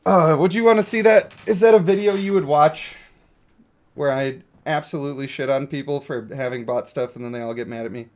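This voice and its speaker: A male game streamer with a slightly high voice talks casually to his viewers. He is blunt and opinionated, with an exasperated, ranting way of commenting. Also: fairly quick, darkish tone.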